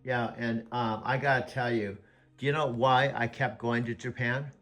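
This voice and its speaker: A man's voice: medium pitch, steady tone, normal speed, a bit bright. A man with an American accent hosting a video, chatting in a rambling, folksy storytelling way. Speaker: video host. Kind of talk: casual chat.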